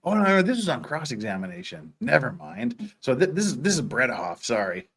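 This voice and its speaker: Man, sharp bright voice, lively pitch swings, joking host, casual commentary. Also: a little fast, slightly rough. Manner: joking, sardonic. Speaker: host of a live comic commentary show. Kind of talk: casual chat and dramatic reading of trial transcripts.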